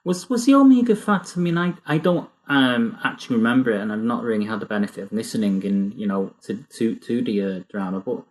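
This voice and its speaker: A man's voice: medium pitch, lively intonation. Hesitant man hosting a podcast. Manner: hesitant, halting phrasing. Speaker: podcast interviewer and host. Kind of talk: casual interview chat.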